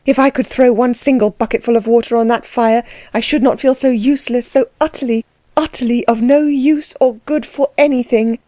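A woman voicing a passionate, impulsive young gentlewoman in an audiobook, exclaiming and repeating herself urgently. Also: fairly high pitch, fairly even intonation, brisk, no echo, pitched above the performer's own voice, close to an everyday voice. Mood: distressed, helpless.

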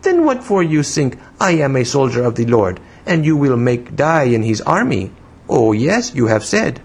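Man voicing a pert, high-pitched childlike Spanish-accented young woman. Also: sing-song, normal speed, slightly rough. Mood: saucy. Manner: broken English, pert and pouting.

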